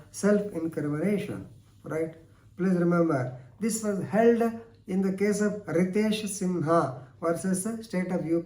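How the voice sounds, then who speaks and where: High-pitched Indian-accented man lecturing, echoey room. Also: mellow. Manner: didactic, repeats phrases for emphasis. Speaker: law lecturer. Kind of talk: explanatory legal lecture. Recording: echoey room, distant microphone.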